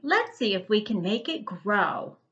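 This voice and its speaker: Woman plays storybook kitten boy: curious, excitable, earnest, lively swings in pitch, slightly muffled recording. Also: unhurried. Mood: curious, excited. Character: eager, curious young boy cat in picture book.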